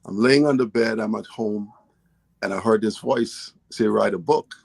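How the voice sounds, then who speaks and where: A man's voice: fairly low pitch, moderate pace, gravelly, darkish tone, slightly rough, no room sound. A man from Guyana, a guest author and poet, telling his story in a casual interview in an earnest, storytelling way.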